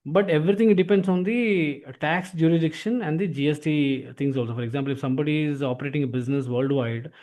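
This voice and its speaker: Male instructor, Indian accent, very quick pace, slightly high pitch, mellow tone, informal explaining. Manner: repeats filler tags like "actually". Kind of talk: informal explanatory software lesson.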